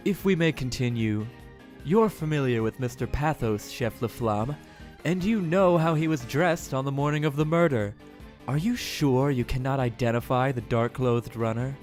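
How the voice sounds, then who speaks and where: A man's voice: mid-range voice, moderate pace, a little mellow, soft background music, natural voice. A man playing a cool, exacting prosecutor in an audio drama, speaking formally and with composure. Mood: cool, insistent.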